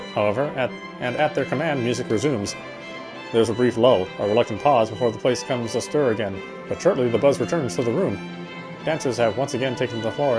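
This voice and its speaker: A man reading visual novel narration aloud, expressively, with occasional stumbles over words. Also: mid-range voice. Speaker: game streamer. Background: game music.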